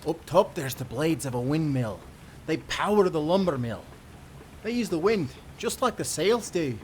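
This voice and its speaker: A man's voice: on the high side, expressive, normal speed, a little mellow, a little hiss or hum, lightly acted. A man playing a cheerful, dreamy young sailor with old-time nautical speech in an audio roleplay. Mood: cheerful, wondering. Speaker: sailor guide and companion.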